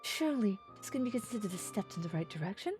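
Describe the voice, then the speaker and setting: A woman voicing an earnest young nobleman diplomat from a fantasy game, with formal, measured, slightly pompous archaic speech. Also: medium pitch, expressive, a little mellow, soft background music, lightly acted. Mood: self-assured, scheming.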